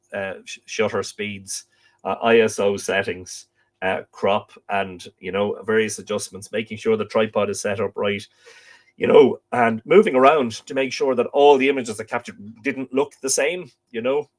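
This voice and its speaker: A middle-aged man from Ireland, croaky from a chest infection, chatting casually as host of a live stream and rambling with lots of fillers and restarts. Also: medium pitch.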